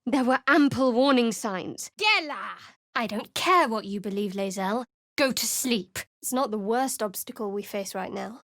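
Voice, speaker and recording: A snarky cleric girl from a game, bit high voiced, dry studio sound. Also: unhurried, fairly bright tone, no room sound. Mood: sardonic. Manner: dry, cutting sarcasm. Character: guarded sardonic young woman cleric. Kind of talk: studio video game voice acting. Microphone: close studio mic.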